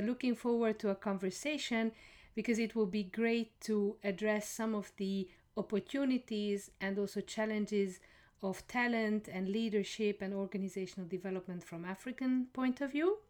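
A woman podcast host introducing her guest in a measured way, with a few "um" pauses. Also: mid-range voice.

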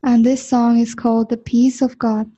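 A woman interpreting a guest's words in short consecutive chunks, with an even, plain delivery. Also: a little high, measured pace, breathy edge. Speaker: interpreter into English. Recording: muffled, dull sound.

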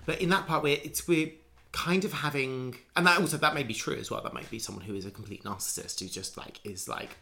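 A man's voice: medium pitch, brisk, creaky, pushed and bright. A man in casual conversation, musing at length with many restarts and fillers. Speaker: conversation partner.

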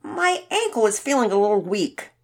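Woman voicing a loud, cocky, boastful boy. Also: medium pitch, sing-song, moderate pace, voice pushed up. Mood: evasive bragging. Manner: brash, slangy, boastful. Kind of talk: children's story character voice.

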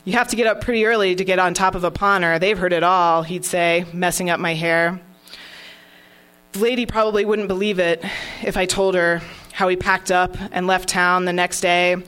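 A woman reads first-person fiction aloud in a smooth, clear voice. Her delivery stays very level, with little rise or fall, steady and even throughout. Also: lowish voice. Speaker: fiction reader. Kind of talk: reading prose fiction aloud.

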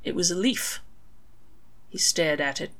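A woman narrating a scripted story in an expressive, wry storytelling manner. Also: lowish voice, measured pace. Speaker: author reading own fan fiction.

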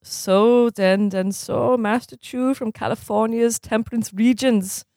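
A pompous old tennis coach, as a man puts him on, lectures in halting, broken English with a put-on foreign accent. The voice sits higher than the reader's own, and the delivery is slow and rhetorical, with questions tagged onto the ends of lines. The sound is dry and close, with no room around it. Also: steady tone, a little mellow, dry recording. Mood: lecturing. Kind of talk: audiobook character reading.

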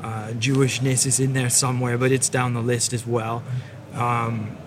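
A man's voice: medium pitch, flat delivery, moderate pace, background noise. A man from California working as an interviewer, talking casually and thoughtfully while searching for his words. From California, United States. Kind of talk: casual, reflective conversation.

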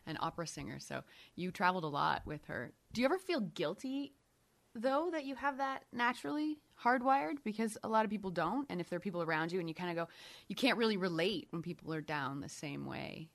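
A woman's voice: on the low side, animated, brisk, creaky. A woman hosting an interview, asking curious, probing questions. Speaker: podcast host.

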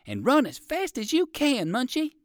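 A man voicing a brave, confident old gentleman rabbit for a children's storybook, speaking emphatically. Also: extremely high pitch, huge pitch swings, moderate pace, no room sound, studio quality, pitched above the performer's own voice, exaggerated voice. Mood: urgent, commanding. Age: older.

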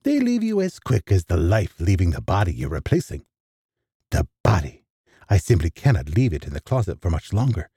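A man giving a theatrical first-person horror narration with a gravelly, husky voice, dropping into hushed asides. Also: lowish voice, no echo, clean studio sound. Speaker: storyteller.

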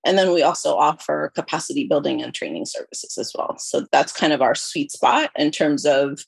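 A woman with a notably low voice speaks as a podcast guest. She explains things reflectively in a conversational way, and the sound is very dry and close, with little room to it. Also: animated, moderate pace, gravelly, husky, no echo. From born in Ethiopia, moved to the US at about eleven. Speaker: podcast guest, consulting firm chief executive. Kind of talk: conversational interview answers.

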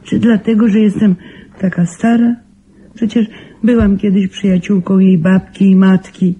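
An actress playing a very old, confused and stubborn woman in a radio drama, rambling insistently in a frail, husky voice. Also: medium pitch, little pitch movement, normal speed, dark tone, natural voice, low-quality recording. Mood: plaintive, confused. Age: older.

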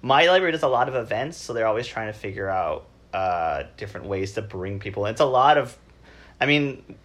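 A man chatting casually as a podcast guest, with an animated, self-deprecating, rambling manner. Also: lowish voice, brisk. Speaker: podcast guest, library worker. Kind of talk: casual conversational interview.

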